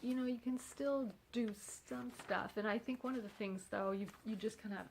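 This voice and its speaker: A congested woman chats casually at home, her voice nasal and a little gravelly. She rambles in a loose, unhurried way and keeps lightly poking fun at herself. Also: fairly high pitch, darkish tone, slight background noise, rough recording. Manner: rambling and wry, with self-mocking humor. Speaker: vlogger. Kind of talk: casual chat.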